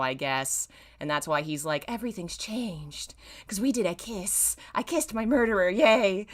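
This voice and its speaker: Low-voiced woman reading with wry asides. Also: fairly bright tone. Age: 30s. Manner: self-mocking, wry, theatrical emphasis when reading. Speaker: streamer reading own old fan fiction.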